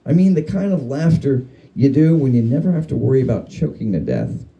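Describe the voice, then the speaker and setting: A man's voice: medium pitch, very animated, a little fast, vocal fry, lo-fi sound. A man giving a dramatic reading of a humorous spooky story, with wry, theatrical and emphatic phrasing. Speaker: story reader.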